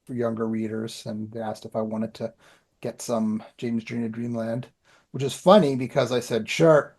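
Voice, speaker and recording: Male podcast co-host, Canadian accent, mid-pitched, casual and rambling, self-deprecating. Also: flat delivery, normal speed, a little mellow. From Ottawa area, Canada. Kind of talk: casual chat, personal updates.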